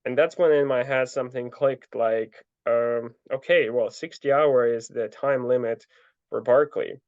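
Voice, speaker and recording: A young man telling his story in a relaxed interview, full of fillers and restarts. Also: medium pitch, measured pace, no room sound. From Ukraine. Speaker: guest, ultra trail runner. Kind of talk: casual interview answers. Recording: dull lo-fi dry sound.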